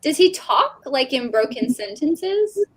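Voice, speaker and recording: A woman's voice: high-pitched, sing-song, takes it slowly, faint music behind. A woman co-hosting an informal chat about books, recorded on a call with faint noise behind her. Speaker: co-host of a book readalong livestream.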